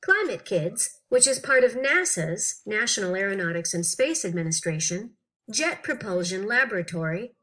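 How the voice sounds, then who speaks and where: Bright, high-pitched woman reading aloud expressively. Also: low-quality recording. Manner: animated, clearly phrased, acting out questions and answers. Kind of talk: expressive read-aloud of an interview text for children.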